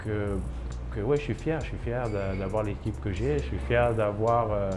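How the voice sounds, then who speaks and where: A man's voice: on the low side, normal speed, over music. A man in his thirties from Ivory Coast, a chef and restaurant owner, speaking in a documentary interview with many hesitations and restarts.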